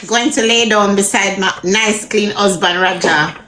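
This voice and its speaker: Bright-voiced Jamaican woman, laid-back. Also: medium pitch, measured pace, a little hiss or hum. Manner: laid-back, reads out viewer comments. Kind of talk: casual patois chat.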